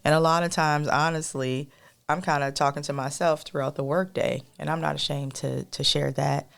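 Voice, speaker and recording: A woman co-hosting a podcast in a casual, rambling monologue with a soft, melodic voice, talking straight to listeners as y'all. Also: deep voice, fairly even intonation, moderate pace, vocal fry. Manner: rambling, addresses listeners as y'all, many fillers. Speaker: podcast co-host.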